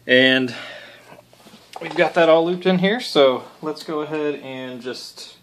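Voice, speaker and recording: A man's voice: on the high side, slow, background noise. A man talking casually through a hands-on tutorial, unscripted and lightly self-deprecating. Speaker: DIY video maker demonstrating an amp modification.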